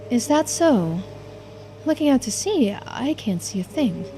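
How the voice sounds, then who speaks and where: A woman voicing a composed, dryly commanding senior investigator in a mystery game, with a crisp questioning manner. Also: a little high, big pitch swings, a little slow, warm tone, soft background music, pitched above the performer's own voice, rough recording. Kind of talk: voiced visual-novel dialogue.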